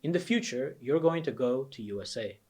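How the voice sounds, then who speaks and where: A man's voice: medium pitch, animated, a little fast, a little mellow. A young man with an American accent, a test prep coach persuasively walking students through his course. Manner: earnest, persuasive, emphatic. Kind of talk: promotional course walkthrough.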